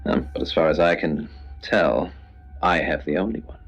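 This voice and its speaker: An actor playing an eerie, theatrical male host of a spooky late-night radio show, speaking deliberately. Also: deep voice, creaky. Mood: ominous storytelling. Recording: dull lo-fi radio sound over music. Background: background music.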